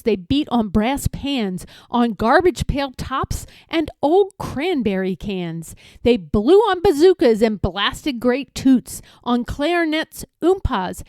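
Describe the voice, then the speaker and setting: Woman, children's read-aloud narrator, expressive, unhurried, dry close recording. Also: sits high, no room sound. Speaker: storybook reader.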